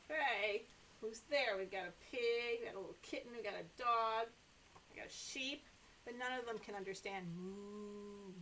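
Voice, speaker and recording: A middle-aged American woman reading and chatting to toddlers as a story time host, in an animated, exaggerated way, recorded indoors by a camera a short way in front of her. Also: medium pitch, normal speed, a little hiss or hum, rough recording. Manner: animated, exaggerated expression for young children. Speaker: children's librarian hosting story time. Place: small indoor room with sofa.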